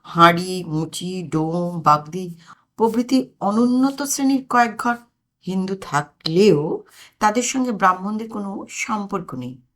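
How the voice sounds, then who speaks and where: A woman narrating a comic story as an audiobook storyteller, in an expressive scripted style. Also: mid-range voice, a little slow.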